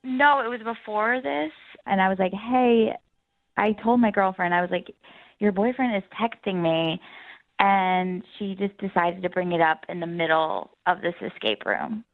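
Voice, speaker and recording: Phone-line voice, young female caller, casual and conversational, medium pitch. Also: moderate pace. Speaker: phone-in guest on a radio dating segment. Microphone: phone call. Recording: thin, band-limited phone line.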